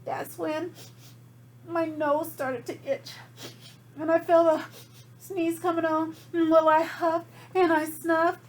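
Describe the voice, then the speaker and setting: A woman gives voice to a folksy storybook wolf who pleads innocence in a high, slow-paced delivery. The telling is chatty and dramatic, made for children, with a light hum of noise in the recording. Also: level tone. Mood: building suspense. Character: folksy, self-excusing wolf telling his side. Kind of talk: storybook read aloud to children.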